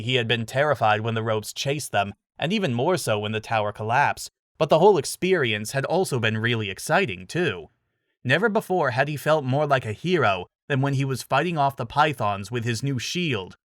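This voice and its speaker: A man's voice: medium pitch, fairly bright tone, no room sound, clean studio sound. A man reading scripted dramatic fantasy fiction as narrator.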